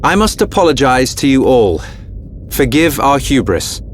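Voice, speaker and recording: An actor playing a dignified, courteous prince in a video game, speaking formally and solemnly. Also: male voice, medium pitch, big pitch swings, measured pace, a little hiss or hum. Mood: solemn, contrite. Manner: formal, stately. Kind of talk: video game cutscene acting.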